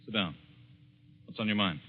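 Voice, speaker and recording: An actor playing a skeptical, irritated editor in a 1940s radio suspense drama, brusque and dismissive. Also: male voice, lowish voice, normal speed, natural voice. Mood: wary, dismissive. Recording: muffled, hissy, reverberant 1940s radio broadcast.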